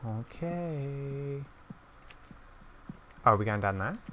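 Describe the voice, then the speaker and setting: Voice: medium pitch, animated, slow, pushed and bright, a little hiss or hum. A host giving casual gameplay commentary, with scattered, playful asides. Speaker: gaming video host.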